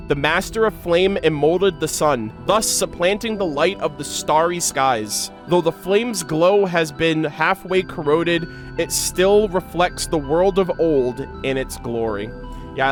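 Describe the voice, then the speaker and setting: A man's voice: a little high, fairly bright tone. A man doing casual reaction commentary and reading game lore aloud, as an enthusiastic fan who uses slang. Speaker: gaming reaction video creator.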